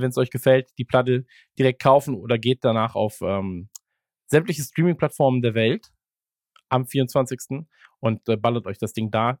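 A man's voice: medium pitch, no room sound. Rambling podcast man, dry sound. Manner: rambling, many fillers, laughs while talking. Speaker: podcast co-host. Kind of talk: casual podcast chat.

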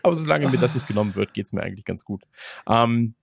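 A man hosts in a loose, conversational way, with a mid-pitched voice. He rambles from thought to thought and slips in ironic jokes. The sound is dry, with very little room in it. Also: expressive, quick pace, vocal fry, husky, no room sound. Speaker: podcast host. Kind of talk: casual chat.

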